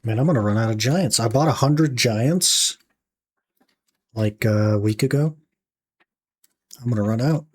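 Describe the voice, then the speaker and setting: A young man with a raspy voice, casually chatting to his stream audience while he plays. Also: medium pitch, unhurried, long pauses. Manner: relaxed, musing aloud while playing, talking to chat. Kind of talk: casual gaming livestream chat.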